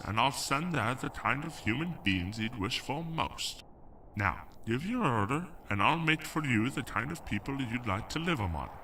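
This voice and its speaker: A man's voice: mid-range voice, pushed and bright, distant mic, slight background noise. A man playing a stern, grand archangel passing judgment with authority in an audio drama. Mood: grudgingly generous. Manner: pronouncing, authoritative. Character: stern male archangel, grand, judging, grudgingly fair.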